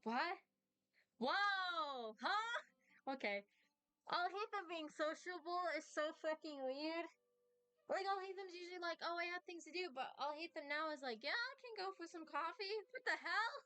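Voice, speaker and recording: Brief: female game streamer, high bright voice, casual and exclamatory, swears freely, light music behind. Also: expressive, a little slow, dry recording. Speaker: livestream gamer. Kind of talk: casual, reactive game commentary.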